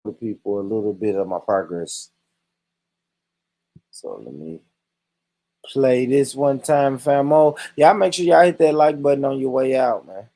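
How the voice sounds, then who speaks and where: A man's voice: medium pitch, animated, measured pace, long pauses, mellow. A man hosting a vlog, chatting loosely. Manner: laid-back, addressing viewers. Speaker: vlogger host. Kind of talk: casual vlog chat.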